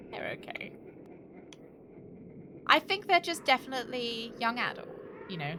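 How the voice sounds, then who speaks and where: A woman's voice: on the high side, big pitch swings, takes it slowly, pauses often, background noise. A British woman chatting casually and thinking aloud to viewers as she streams a video game. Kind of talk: casual chat while playing a game.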